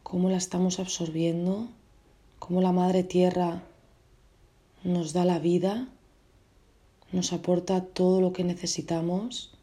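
A woman guiding a meditation, giving gentle, unhurried instructions in short phrases with pauses between them. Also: fairly low pitch, level tone. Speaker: meditation guide. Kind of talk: guided meditation.

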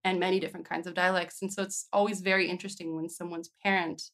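A woman's voice: lowish voice, flat delivery, fast talker, fairly bright tone. A woman interview host speaking in a thoughtful, curious, conversational way. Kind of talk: conversational interview with scripted introduction.

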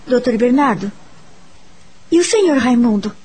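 An actress with a Brazilian accent playing a gentle, grateful young woman in a radio soap opera, earnest and sentimental. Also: a little high, lively intonation, normal speed, slightly rough. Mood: grateful, wistful. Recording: background music under the voice.

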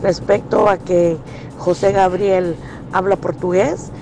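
A woman's voice: fairly low pitch, flat delivery, slow pace, background noise. A Salvadoran woman with a Salvadoran accent calling in to a radio show, earnestly explaining her point at length. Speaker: caller.

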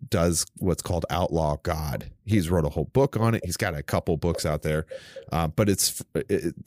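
A man in his thirties hosting a casual theology podcast, talking in a halting, searching way. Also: sits low, darkish tone, no echo, clean studio sound. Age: 30s. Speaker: podcast host.

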